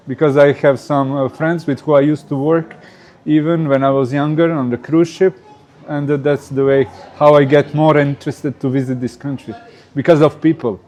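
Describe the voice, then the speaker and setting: Croatian-accented man, mellow voice. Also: on the high side. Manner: hesitant, many uh fillers, non-native phrasing. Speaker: guest. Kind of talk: casual interview answers.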